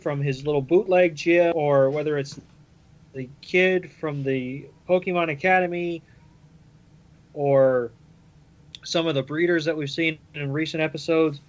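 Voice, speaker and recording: A man's voice: fairly high pitch, fairly even intonation, measured pace, muffled recording. A man chatting casually on a podcast panel, recapping and explaining things step by step. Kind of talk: casual episode recap discussion.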